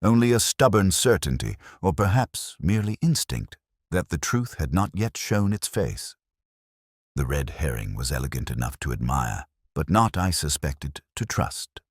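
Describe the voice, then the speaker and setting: A man playing a brooding, literary police inspector who narrates a mystery drama in the first person, in measured, reflective phrases. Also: rumbling low, very animated, husky, no room sound, clean studio sound, heavily acted. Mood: brooding, doubtful.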